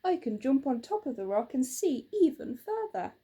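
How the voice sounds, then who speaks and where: Woman playing an upbeat storybook husband, softly husky. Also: sits high, very animated, moderate pace, heavily acted. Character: cheerful, optimistic, practical husband in a storybook.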